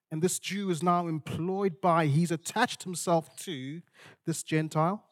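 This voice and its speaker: A man from Zimbabwe, preaching an expository sermon with storytelling, in deliberate, dramatic phrases that repeat for emphasis. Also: high-pitched.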